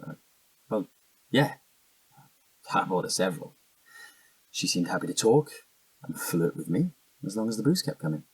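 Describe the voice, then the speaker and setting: A man's voice: lowish voice, lively intonation, moderate pace, gravelly, pauses often, breathy edge, no room sound, far from the mic, full character voice, low-quality recording. An actor playing an evasive, defensive young British man in a naturalistic audio drama, hesitant and slangy. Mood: reminiscing, evasive.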